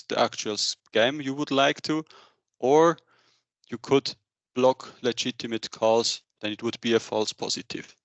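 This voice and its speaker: Man, thirties, medium pitch, halting delivery, business webinar presenter, headset mic, dry sound. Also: fairly bright tone, dry recording. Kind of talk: slide presentation, semi-scripted business webinar. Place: indoor room, on a video call.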